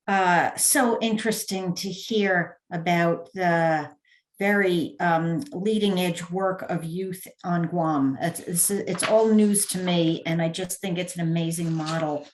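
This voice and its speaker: A woman moderates a panel, speaking slowly and in a measured way. Her voice is a little low. The room around her echoes.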